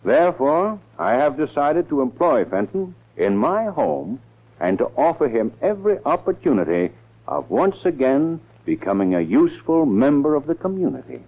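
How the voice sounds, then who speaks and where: An actor playing an ambitious, wary district attorney in a 1940s radio drama, with an American accent and an emphatic manner. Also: male voice, on the high side, big pitch swings, normal speed. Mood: formal, reading. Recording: muffled 1940s radio recording.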